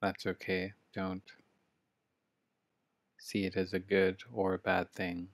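A man with a very low voice guides a meditation from home over a video call. He speaks slowly and almost without pitch movement, leaving long pauses between short phrases. The sound is dry and close. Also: completely flat delivery, no echo. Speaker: meditation teacher. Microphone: video call mic.

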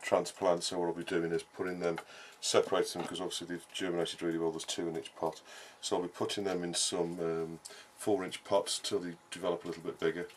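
A man with a low, flat voice talks casually through gardening jobs. His delivery is halting and unscripted, explaining as he goes. The camera microphone picks up clear outdoor ambient noise around him. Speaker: gardening vlogger. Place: outdoor vegetable garden and greenhouse. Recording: clearly noisy outdoor ambience, uneven distance.

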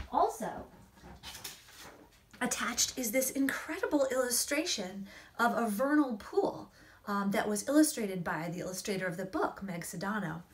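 A woman in her forties, an author reading and explaining things to children in an expressive, emphatic storytelling manner. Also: mid-range voice. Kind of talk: expressive picture-book read-aloud and explanation to children. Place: small indoor room against a plain wall.

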